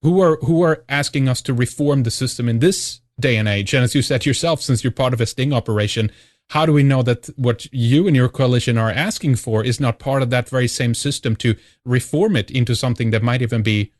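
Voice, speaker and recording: A man's voice: medium pitch, brisk, no echo, studio quality. A man hosting a radio interview, asking a long question while stumbling and repeating words. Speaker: radio show host.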